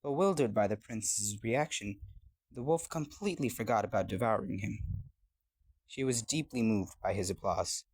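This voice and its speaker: A man reading a scripted fairy tale as a storyteller, with an expressive storybook delivery. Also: on the low side, moderate pace, slightly rough.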